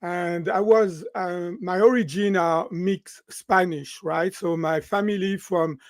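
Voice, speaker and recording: A man in his sixties from France with a French accent, a guest in an interview, talking in an animated way and often ending sentences with "right?". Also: sits high, takes it slowly, no echo, studio quality. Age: 60s. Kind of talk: interview conversation.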